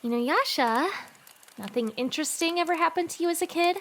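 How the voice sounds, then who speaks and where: A woman playing a caring, teasing teenage girl with an expressive, friendly manner in a fan audio drama. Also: very high-pitched, a little fast, a little hiss or hum.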